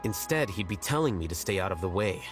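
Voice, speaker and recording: An actor playing an aloof young male scholar in a video game, speaking in a dry, measured, matter-of-fact way. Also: low voice, very animated, over music.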